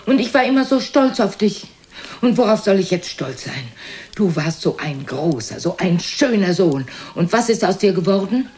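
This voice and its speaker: A woman's voice: mid-range voice, expressive, normal speed, darkish tone, close to an everyday voice. An actress playing a proud, reproachful elderly mother in a radio play, scolding and accusing in a dramatic manner. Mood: hurt, disappointed. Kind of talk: German radio play acting.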